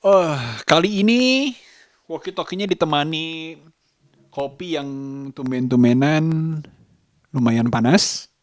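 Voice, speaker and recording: A man from Indonesia talks casually to his vlog, thinking aloud in a reflective, halting way as he searches for words. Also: on the high side, no room sound, clean studio sound. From Sukabumi, West Java, Indonesia. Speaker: vlogger, host of his own daily vlog.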